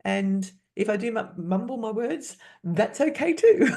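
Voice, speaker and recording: A woman in her fifties, a podcast guest, speaking candidly and haltingly, restarting words and laughing often. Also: on the high side, very animated, vocal fry, a little mellow. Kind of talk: candid personal interview.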